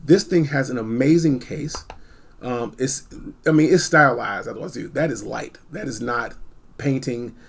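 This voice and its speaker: A man giving a casual, unscripted gadget review, blunt and informal, rambling and repeating phrases for emphasis, with a gravelly, husky voice. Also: mid-range voice, measured pace. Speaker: product reviewer.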